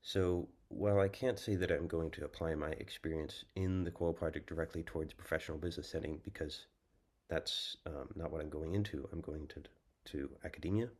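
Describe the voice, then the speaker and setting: Young american guy presenting at a student symposium, super low voice, measured, lots of ums. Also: a little mellow. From United States. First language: English. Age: young adult. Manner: measured, thoughtful, with frequent ums.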